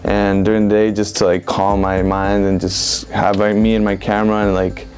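A man in his twenties from Venezuela talks through his answers indoors. His voice sits low and stays quite flat. He rambles casually, filling pauses with "like" and "um", and soft music plays underneath. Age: 20s. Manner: relaxed, rambling with many fillers like "like" and "um". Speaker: interviewed street photographer. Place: indoor room with bookshelves.

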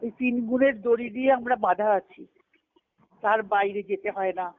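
A woman's voice: mid-range voice, moderate pace, dry recording. Woman giving spiritual discourse over tinny phone line. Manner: didactic, repeats key phrases, poses questions and answers them. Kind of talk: devotional lecture explaining scripture. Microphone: conference call phone line.

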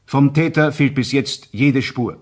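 Male actor as grave reader of press reports in a crime radio play, middle-pitched, formal. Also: a little slow, loud music behind the voice, natural voice. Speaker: newsreader. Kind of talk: scripted news reading.

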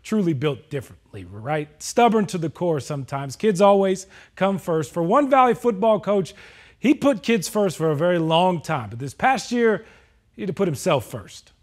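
High-pitched male American sports anchor. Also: big pitch swings, normal speed. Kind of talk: scripted TV news feature narration.

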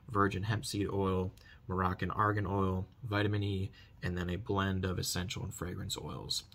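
A man's voice: fairly low pitch, a bit bright. A man in his thirties giving a casual product review to camera, repeating emphatic stock phrases, recorded at about arm's length in a small bedroom. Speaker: product reviewer, channel host. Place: small furnished bedroom, indoors.

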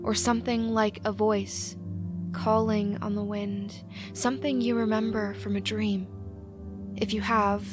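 A woman playing a folksy, cryptic small-town radio host, reading a scripted late-night promo with a teasingly ominous manner. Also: on the high side. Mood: quietly ominous. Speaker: host of a fictional community radio show.

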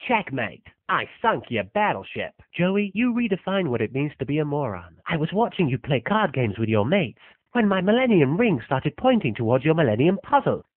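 An actor playing plucky, excitable teenage schoolboys in a parody anime dub. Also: male voice, moderate pace, dry recording. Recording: tinny low-fidelity web audio, dry.

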